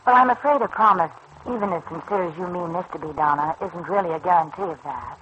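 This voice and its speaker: A calm, kindly woman, played by a radio actress, gives measured, sensible counsel like an older confidante. The vintage soap-opera recording is muffled and faintly noisy. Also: fairly low pitch, close to an everyday voice. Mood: sincere, advising. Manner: measured, reassuring, gently advising. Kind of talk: 1940s-50s radio serial acting.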